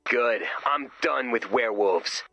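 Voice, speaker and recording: Naive goofy sidekick, bright creaky voice, earnest, chatty, nerdy, video game. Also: male voice, mid-range voice, takes it slowly, no echo, highs cut off, heavily acted. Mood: uneasy, goofy. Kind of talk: video game character voice lines.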